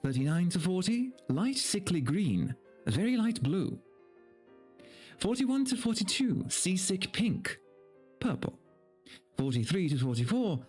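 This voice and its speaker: A man livestreaming in a playful, expressive way, moving between casual chat and reading aloud to viewers. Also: high voice, measured pace, long pauses, husky, faint music behind.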